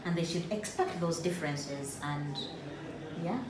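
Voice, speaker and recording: A woman's voice: low-pitched, measured pace. A woman, interviewing a student in casual conversation with lots of encouraging hums. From Uganda. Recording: echoey room, far from mic, background noise.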